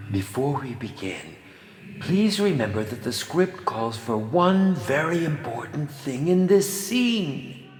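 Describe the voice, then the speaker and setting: A flamboyant, bloodthirsty film director villain, pitched extremely high for a male voice. He sneers with drawn-out theatrical politeness and swings widely up and down, echoing through a long hall as a campy game villain. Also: fairly bright tone, slight background noise, heavily acted. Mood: sneering, condescending.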